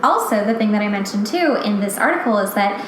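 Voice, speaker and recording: A woman's voice: mid-range voice, animated, fast, slight background noise. A young adult woman hosting a podcast, chatting casually in an emphatic, opinionated way with lots of "like". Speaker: podcast host. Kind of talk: casual chat. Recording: very echoey room.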